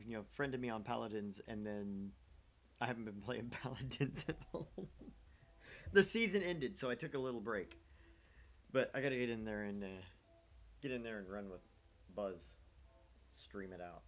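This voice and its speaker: A man's voice: on the low side, normal speed, pauses often. A man hosting a small gaming channel's livestream, rambling casually and conversationally, with a self-deprecating way of talking.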